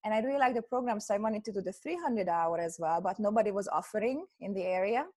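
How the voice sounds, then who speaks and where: A woman's voice: mid-range voice, fast talker, mellow. A woman from Hungary, telling her story as a podcast guest in a chatty way with many fillers. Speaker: guest, yoga teacher. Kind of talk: podcast interview.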